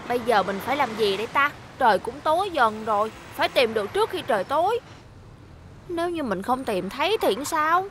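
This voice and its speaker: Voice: sits high, sing-song, brisk, noisy background. An actor voicing an eager, kindly young male school bus in a children's cartoon dub, coaxing and reassuring. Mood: anxious.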